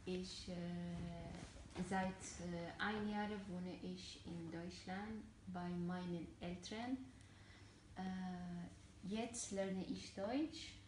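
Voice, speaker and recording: Slow-speaking Syrian-born woman learning. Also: lowish voice, steady tone, echoey room, noisy background. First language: Arabic. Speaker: German learner in a practice interview. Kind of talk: slow, careful learner German with fillers.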